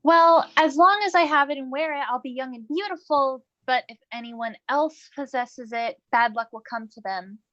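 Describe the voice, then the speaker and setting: A woman plays a young goddess with a crush in a comic stage play. Her voice is high and girlish, sweet and eager yet hesitant, on dull lo-fi audio. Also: moderate pace, hardly any pauses, pushed and bright. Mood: bright, curious.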